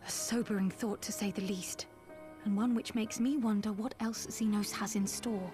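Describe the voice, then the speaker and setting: A woman's voice: mid-range voice, fairly even intonation, moderate pace, music in the background, lightly acted. An actress playing a shrewd, thoughtful female scholar in a video game, articulate and dryly understated. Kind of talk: video game dialogue acting.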